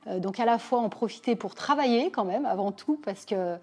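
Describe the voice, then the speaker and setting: A woman in her forties from Lyon, thoughtfully telling her career story in a measured way with frequent pauses. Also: a little high, darkish tone, breathy edge. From Lyon, France. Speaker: business owner interviewee. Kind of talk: personal career account to camera.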